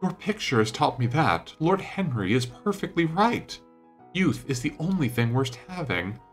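A man voicing a petulant, melodramatic young gentleman in an audiobook, vain and wounded, speaking in an impassioned, plaintive way. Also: a little high, very animated, normal speed, faint music behind, voice pushed up. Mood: bitter, hurt.

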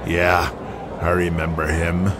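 Man as a very deep, slow, menacing wrestler. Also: monotone, a crowd in the background, background noise. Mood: wary, gruff. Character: gruff, menacing, battle-worn male pro wrestler.

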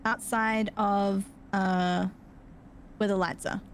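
A woman playing an online game, talking casually in a halting way and repeating herself as she debates with other players. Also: medium pitch, flat delivery. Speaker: player in a social deduction game. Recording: noisy online game voice chat.

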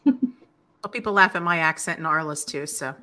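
A woman's voice: deep voice, lively intonation, crowd noise. A middle-aged woman co-hosting an interview on a video call, chatty and friendly. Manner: friendly, chatty, quick to agree. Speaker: podcast co-host. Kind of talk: casual interview chat. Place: home office.

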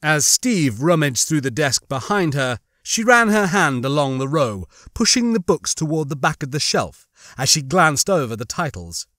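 A man narrating a suspense novel as an audiobook, with measured, dramatic storytelling. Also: fairly high pitch, very animated, a bit bright, no echo, studio quality. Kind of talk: scripted dramatic fiction narration.

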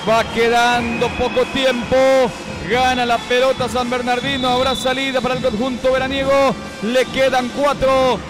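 A man with a Paraguayan Spanish accent calling a youth futsal match live, with quick, excited play-by-play that climbs at scoring chances. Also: very high voice, music in the background, low-quality recording. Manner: rapid, excited calls that rise near goal chances. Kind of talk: live sports commentary.

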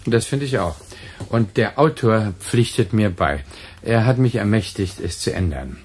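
A man acting a brisk, talkative, emphatic radio dramaturg in a German radio play. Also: on the low side, expressive, slightly rough, soft background music. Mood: eager, insistent.

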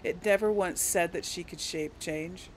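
A woman's voice: fairly low pitch, moderate pace, slight background noise, low-quality recording. A woman playing a role-play video game, talking in a casual, musing way as she comments and voices dialogue choices. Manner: giggly, musing. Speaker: gamer hosting a role-play let's play.

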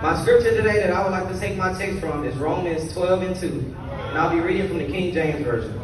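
A high-voiced teenage boy preaches earnestly from the pulpit, reading scripture and driving his points home in short phrases. He sounds far off and washed in the heavy echo of a huge sanctuary, captured on a phone from the pews with the congregation's murmur underneath. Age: teens. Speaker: youth speaker. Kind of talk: youth sermon at a convention. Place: large church sanctuary. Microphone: pulpit mic filmed by a phone from the pews. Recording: roomy far-off phone recording in a large hall. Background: congregation ambience.

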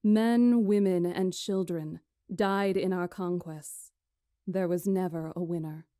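A woman playing a stately magician in a visual novel, recounting history in a measured, formal, solemn way. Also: on the low side, steady tone, mellow, no echo, studio quality. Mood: solemn, proud. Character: stately female magician elder recounting ancient history to lords. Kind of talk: visual novel character acting, storytelling.

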